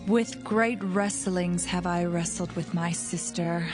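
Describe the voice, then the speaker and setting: A woman playing a yearning, determined biblical wife in a dramatised audio Bible, slow and fervent in delivery. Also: lowish voice, steady tone, music in the background. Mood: fervent, triumphant.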